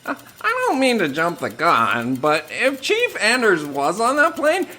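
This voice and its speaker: Timid junior aide, played by a man: sharp bright voice, bouncy intonation, eager to please, comic radio play. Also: high voice, huge pitch swings, unhurried, full character voice. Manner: hesitant, deferential. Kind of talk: scripted comedic audio drama acting.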